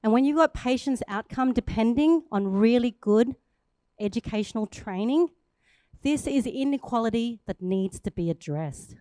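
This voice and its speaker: A woman in her forties, a native Thai speaker from Thailand, giving an upbeat, chatty startup pitch on stage and laughing at herself now and then. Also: expressive, moderate pace, dark tone, no room sound.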